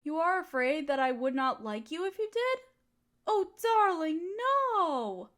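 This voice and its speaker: A woman's voice: high voice, big pitch swings, a little slow. A woman playing an affectionate, teasing girlfriend in an intimate comfort roleplay, soothing and cooing as she pampers her partner. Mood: reassuring. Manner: cooing, soothing pet-talk. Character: affectionate, teasing, nurturing girlfriend of a fox spirit. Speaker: audio roleplay voice performer.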